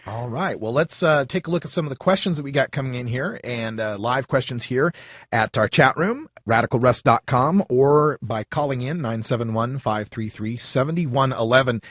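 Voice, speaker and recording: A man's voice: medium pitch, normal speed, a bit bright, dry recording, clean studio sound. A man hosting a live call-in talk show, chatting casually and reading out audience questions. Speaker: radio talk show host.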